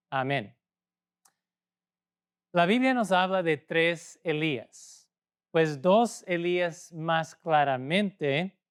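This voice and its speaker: A man from Portugal with a Portuguese accent, a native Portuguese speaker, preaching a sermon as a pastor in a measured way with pauses. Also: fairly high pitch, smooth. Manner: measured, pausing, speaking a second language.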